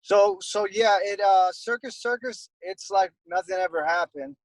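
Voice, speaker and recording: A young man from Costa Rica chats easily as an interview guest, rambling with many fillers. His voice sits a little high for a man. The sound is dry and close. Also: measured pace, dry recording, poor audio. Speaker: guest, airbrush artist. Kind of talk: casual interview chat.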